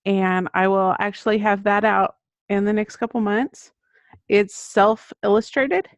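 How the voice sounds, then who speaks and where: A woman's voice: medium pitch, fairly even intonation, unhurried, no echo. A middle-aged woman giving conversational answers as an author guest in an interview. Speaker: guest, children's book author. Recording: dull lo-fi audio.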